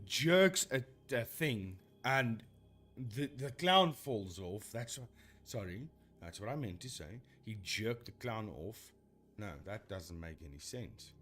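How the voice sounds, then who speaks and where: Animated man rambling on stream. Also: medium pitch, slight background noise. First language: Afrikaans. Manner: rambling, self-correcting, animated. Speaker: streamer debunking ghost videos. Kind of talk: casual live-stream commentary.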